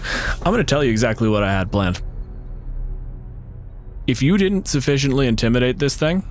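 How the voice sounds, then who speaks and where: A man's voice: mid-range voice, lively intonation, normal speed. A man working as game master, improvising narration in a casual, sweary way with vivid descriptions. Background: faint music.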